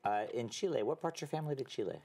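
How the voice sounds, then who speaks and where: A man hosting a talk show, asking questions conversationally in halting, hesitant phrases. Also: medium pitch, lively intonation, creaky, mellow, breathy edge. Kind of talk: conversational interview.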